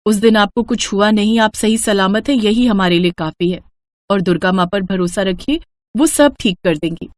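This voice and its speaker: A woman's voice: mid-range voice, moderate pace, dry recording, lightly acted. Woman acting an earnest, pleading poor village mother. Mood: reassuring. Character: poor, devout, caring village wife and mother.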